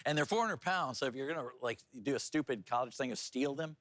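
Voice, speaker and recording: A man's voice: medium pitch, expressive, fast talker, fairly bright tone, no room sound. A man anchoring TV news in a playful, folksy and chatty way. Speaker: news anchor and feature reporter.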